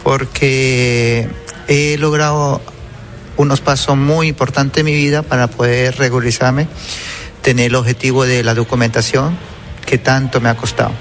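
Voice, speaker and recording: A man's voice: mid-range voice, little pitch movement, normal speed, faint music behind. A middle-aged man from Venezuela, telling his migration story in an interview in an earnest way.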